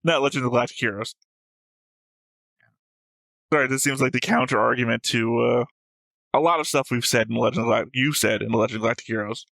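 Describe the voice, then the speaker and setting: A man's voice: mid-range voice, brisk, gravelly, long pauses, a bit bright, dry recording. A man who hosts a reaction show, talking casually and analytically, explaining and arguing his points. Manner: argumentative, explanatory. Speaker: host of an anime reaction show.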